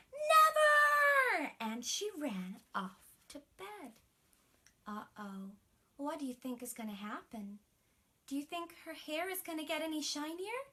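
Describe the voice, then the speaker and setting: A woman telling a bedtime story to young children as a princess, expressive, with breathy emphasis and chatty asides. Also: a little high.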